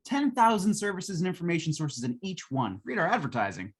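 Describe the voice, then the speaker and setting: Woman as a husky, folksy salesman. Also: fairly low pitch, sing-song, brisk. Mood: eager, explanatory. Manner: colloquial, patient sales patter. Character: folksy, long-suffering male salesman of futuristic machines. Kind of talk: 1950s radio drama acting.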